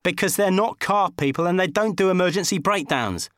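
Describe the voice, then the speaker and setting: A man's voice: high voice, fairly quick, fairly bright tone, dry recording, studio quality, voice pushed up. A man playing an exasperated, sarcastic divorced man who nags and scolds emphatically in comic audiobook dialogue. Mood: seething.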